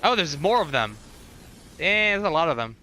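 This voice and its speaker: A man's voice: fairly high pitch, very animated, moderate pace, bright, forceful tone, slight background noise, poor audio. A man live streaming casual reactions and commentary, rambling as he thinks aloud, with music behind him. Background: game showcase trailer audio and music.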